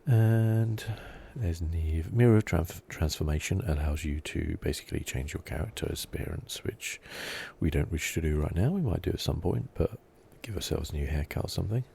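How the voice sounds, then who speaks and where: Male ASMR gamer, very low gravelly voice, low-key and rambling, faint noise behind. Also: animated, moderate pace, hardly any pauses. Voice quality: soft-spoken, low-key. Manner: rambling, thinking aloud. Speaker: video game streamer. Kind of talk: relaxed ASMR gameplay commentary.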